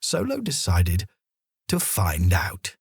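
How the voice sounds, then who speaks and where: A man narrating a children's story in an expressive storytelling manner, with deliberate pauses. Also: low voice, gravelly, husky, no echo, clean studio sound. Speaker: audiobook narrator.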